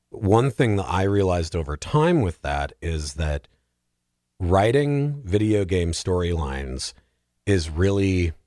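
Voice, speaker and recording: A man's voice: low voice, darkish tone, no echo, clean studio sound. A man in a casual podcast discussion, explaining things in an earnest, emphatic way as a guest.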